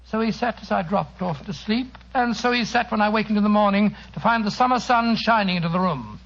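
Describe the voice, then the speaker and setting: A courteous British gentleman storyteller in an old radio drama, the loyal friend of a detective. His voice is high for a man and creaky, on a muffled broadcast recording. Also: a little fast. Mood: measured, evocative.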